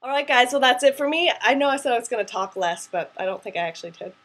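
A woman's voice: on the high side, lively intonation, quick pace, a bit bright. A woman in her twenties chatting casually to camera as an artist hosting her own show, in a rambling way peppered with "like", recorded in a small room at home. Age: 20s.

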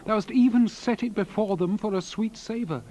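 An actor playing a solemn prophet who delivers God's reproach in a dramatized scripture reading, with grave declamatory delivery. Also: male voice, high voice, moderate pace, warm tone, slight background noise, close to an everyday voice, poor audio. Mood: rising anger. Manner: grave, declamatory, archaic diction.

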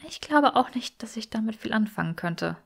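A woman voicing a gentle, forgiving, weary young woman healer in a fiction audiobook, soft, breathy and earnest. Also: a little high, expressive, normal speed, voice pushed up, full character voice. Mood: sad.

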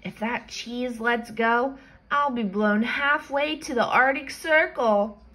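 A woman's voice: on the high side, takes it slowly, a bit bright. A woman voicing an earnest, fretful male pet dog who muses to himself in a storybook. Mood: straining, worried. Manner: musing to himself in storybook read-aloud.

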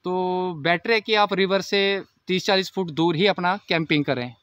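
A man's voice: sits high, normal speed, a bit bright, dull audio, slight background noise. A young man camping in a forest at night, explaining tips to viewers in a casual vlog, filmed on a handheld phone. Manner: explanatory, advising viewers, walking while talking. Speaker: camping vlogger. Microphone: phone camera held by companion.

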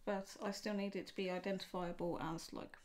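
A woman casually talking through her drawing as she works, relaxed and thinking aloud at a home desk. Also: medium pitch, brisk. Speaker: art tutorial host. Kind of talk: casual narration while drawing. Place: home desk, seen from above.

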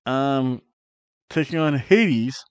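A man's voice: medium pitch, very slow, smooth, no room sound. A middle-aged man hosting a wrestling review show, chatting casual predictions to camera from a small home room on a headset mic, playful and rolling his R's on names. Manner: playful, rolls R's on wrestler names, hums dramatic tunes. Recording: dull lo-fi dry sound.

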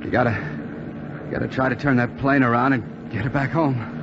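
A man in a 1940s radio drama playing a wounded, fading bomber pilot who gives halting, steady commands. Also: medium pitch, close to an everyday voice. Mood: pained, urgent. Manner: halting, terse instructions. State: weak, labored, fading. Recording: noisy muffled 1940s radio broadcast recording. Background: loud aircraft engine noise.

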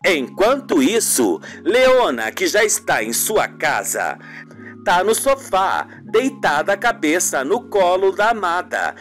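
A man's voice: sits high, very animated, takes it slowly, fairly bright tone, faint music behind. A man narrating a scripted radio soap opera in a dramatic storytelling manner.

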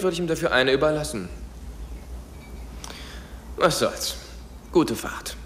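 A man's voice: medium pitch, a bit bright, a little hiss or hum, natural voice. An actor playing a brooding, wealthy male hero in a radio play, with music behind. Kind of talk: dubbed radio play acting. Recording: music under the voice.

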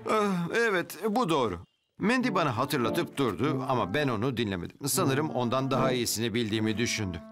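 A man's voice: medium pitch, big pitch swings, brisk, natural voice. A man playing an apologetic, well-meaning father in a children's cartoon dub. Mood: remorseful. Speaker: voice actor in animated series.

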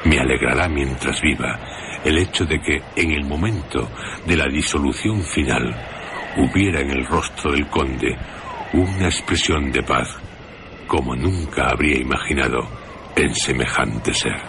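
A man narrates a scripted radio programme in a measured, solemn manner with deliberate pauses. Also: rumbling low, creaky, noisy background. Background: music.

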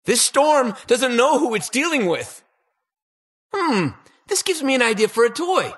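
An actor playing an eager, anxious and boastful toy-inventor hero in a video game, with a husky, slightly awkward delivery. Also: male voice, very high-pitched, very animated, a little slow, a bit bright, heavily acted. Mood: boastful, upbeat. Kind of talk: video game battle voice lines.

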